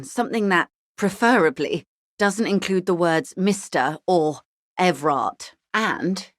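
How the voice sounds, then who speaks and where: A woman playing a shrewd, wealthy older negotiator in a video game, speaking in a polished, wry, measured way. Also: lowish voice, dry recording, clean studio sound, lightly acted. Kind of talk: video game character dialogue.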